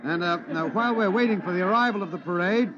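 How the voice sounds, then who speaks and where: An actor playing an American radio reporter giving live on-the-spot commentary in an old-time radio drama. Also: male voice, high voice, fast, a crowd in the background, close to an everyday voice. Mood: genial, busy. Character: excitable male radio reporter covering a parade live. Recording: muffled, noisy old radio recording.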